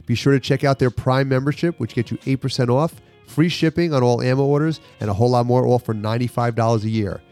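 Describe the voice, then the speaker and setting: A man's voice: mid-range voice, quick pace, few pauses, a little mellow, faint music behind. A male podcast host with a slight cold and congestion, chatting casually and reading sponsor ads. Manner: loose and chatty, reads sponsor spots. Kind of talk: casual podcast chat with ad reads. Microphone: studio podcast mic, dry sound.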